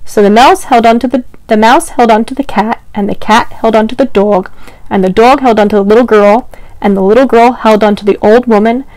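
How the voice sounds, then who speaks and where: A woman's voice: a little high, fairly even intonation, a little fast, a little mellow, no room sound, rough recording. A young woman telling stories to small children as a storytime host, with exaggerated, encouraging delivery aimed straight at the listeners. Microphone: clip-on lapel mic.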